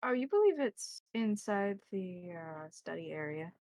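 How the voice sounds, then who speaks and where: A woman game master narrating scenes descriptively and deliberately in a tabletop roleplaying game. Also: fairly low pitch, very animated, a little mellow, no echo, clean studio sound.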